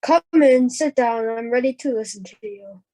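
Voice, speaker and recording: A woman reading the part of a thoughtful, logical professor in an amateur radio play, with a measured, questioning, emphatic manner. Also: on the high side, mellow, no room sound, lightly acted, rough recording. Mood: measured, inquiring. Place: indoors, on a group video call.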